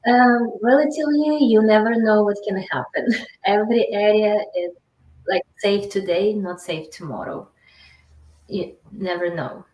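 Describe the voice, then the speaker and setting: A woman from Ukraine is being interviewed, and her English is halting and non-native, full of pauses and fillers. Her voice sits in a middle range. The recording carries a noticeable room echo. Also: mid-range voice, darkish tone, a little hiss or hum. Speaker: interview guest. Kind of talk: casual interview.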